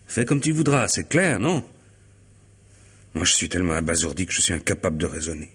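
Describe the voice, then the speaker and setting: Low-voiced, bitterly ironic distraught husband. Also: male voice, sing-song, a little fast, fairly bright tone, breathy edge, heavily acted. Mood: bitter, resigned. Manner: sardonic, theatrically agitated. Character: distraught betrayed husband, bitterly ironic, forcing himself calm. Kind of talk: French radio mystery play acting.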